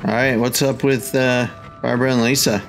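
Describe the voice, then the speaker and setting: A man's voice: mid-range voice, flat delivery, normal speed, over music. A man hosting a casual gaming let's-play, with playful interjections and exclamations. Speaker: gaming host.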